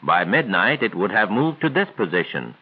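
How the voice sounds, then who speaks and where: A man reads scripted 1940s training film narration in a measured, formal, instructional way. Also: fairly low pitch, very animated, slightly rough. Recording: tinny band-limited 1940s film soundtrack.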